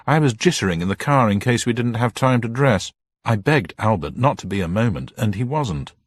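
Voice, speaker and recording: A man voicing a quick-witted young woman in a detective story, eagerly explaining to cover for her companion. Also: fairly low pitch, expressive, no room sound, pitched above the performer's own voice.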